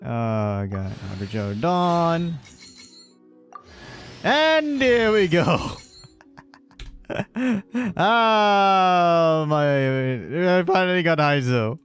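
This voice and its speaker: A man's voice: fairly high pitch, big pitch swings, drawn-out words, pauses often, soft background music. A man doing casual livestream commentary over a game, rambling and repeating himself, often chuckling between phrases. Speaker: live streamer.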